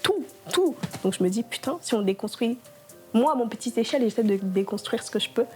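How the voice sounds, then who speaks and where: Brief: young woman from Congo, French not her native tongue, animated interview guest, faint music behind. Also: on the high side, brisk. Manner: animated, talks with a rush of fillers and laughs often. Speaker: interview guest, entrepreneur and therapist. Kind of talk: casual conversational interview.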